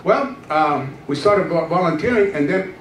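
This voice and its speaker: Animated middle-aged pastor telling his story. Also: on the high side, moderate pace, slight background noise. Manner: animated storytelling, acts out dialogue. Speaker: guest pastor. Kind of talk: testimony sermon. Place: church stage, indoors. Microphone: handheld mic. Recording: echoey room from far off.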